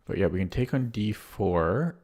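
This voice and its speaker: A man streaming chess live with a hoarse voice, thinking aloud about his moves and chatting casually with viewers. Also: on the low side, expressive, moderate pace, a little mellow. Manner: thinks aloud while playing, muttering over moves. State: hoarse, clearing his throat.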